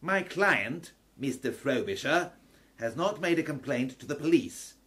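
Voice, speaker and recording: A man's voice: on the high side, expressive, measured pace, a bit bright. Guy doing a pompous threatening lawyer for a radio play, very formal, voice swoops around. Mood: firm, officious. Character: haughty threatening lawyer, cold and imperious. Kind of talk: scripted audio drama.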